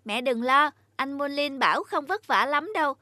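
High-voiced earnest little boy, acted by a woman. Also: normal speed, pushed and bright, no room sound, studio quality. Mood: eager. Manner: earnest, pleading, polite. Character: earnest, sensitive young boy devoted to his mother. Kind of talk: Vietnamese animated moral story dubbing. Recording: music behind the voice.

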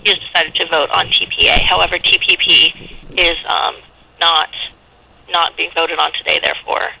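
A woman working as a congressional office staffer, answering a phone politely in a halting, formal manner. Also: on the low side, level tone, creaky, sharp tone, breathy edge. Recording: telephone line audio.

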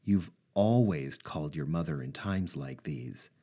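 A man voicing a dogged former police officer turned investigator, probing and dry, close to his own husky voice. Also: very deep voice, expressive, a little slow, mellow, exaggerated voice. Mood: gentle.

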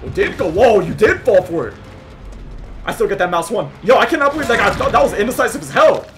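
A hoarse young man giving loud, profane commentary over his own gameplay, muttering one moment and yelling the next. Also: high voice, lively intonation, fast, faint music behind. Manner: swings from muttering to yelling, swears a lot. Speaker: gaming YouTuber. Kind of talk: excited live gameplay commentary.

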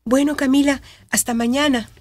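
A woman acts a supportive female counsellor in a radio drama. Her voice is mellow and a little high, with a reassuring, explanatory manner over faint music. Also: steady tone, normal speed, natural voice. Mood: gentle. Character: calm, supportive woman counsellor advising a family.

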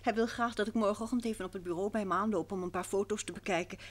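An actress playing a detective's wife, familiar and wry, in an old radio drama. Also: female voice, medium pitch, fairly even intonation, racing, natural voice. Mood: chatty, wry.

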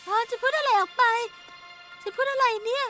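A woman voicing a spirited young pony girl in a dubbed cartoon, eager, expressive and emphatic. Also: extremely high pitch, normal speed. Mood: nervous, polite. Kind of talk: animated cartoon dubbing. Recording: music behind the voice. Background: background music.

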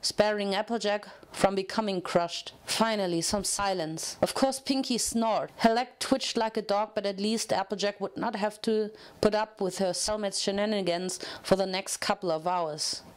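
A woman reading fiction aloud as a narrator, in an expressive, dramatic storytelling manner. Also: mid-range voice, moderate pace, few pauses, clean tone.

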